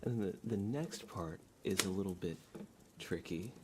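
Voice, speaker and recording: A man speaking softly and at times near a whisper, hosting a casual, unhurried ASMR livestream. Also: sits low, over loud music. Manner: soft, hushed, partly whispered, unhurried. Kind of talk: soft-spoken ASMR livestream chat. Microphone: sensitive streaming microphone with monitoring.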